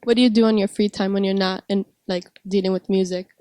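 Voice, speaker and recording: A woman's voice: mid-range voice, fairly even intonation, fast talker, warm tone, no echo. A woman hosting an interview, asking short, direct questions.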